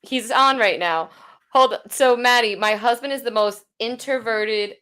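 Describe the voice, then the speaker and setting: Woman cohosting a live chat show, excited and laughy, kinda high voice. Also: unhurried, smooth. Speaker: podcast co-host. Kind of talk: casual livestream chat.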